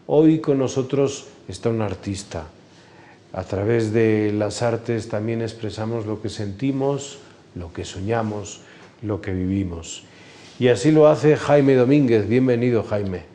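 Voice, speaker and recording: Male priest-host, measured and welcoming, dark timbre. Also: mid-range voice. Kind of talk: scripted-sounding show opening, then interviewing.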